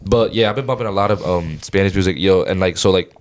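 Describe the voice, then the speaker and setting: A man's voice: low-pitched, quick pace. A young man co-hosting a casual podcast among friends, slangy and rambling, with lots of fillers and repeats. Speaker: podcast co-host.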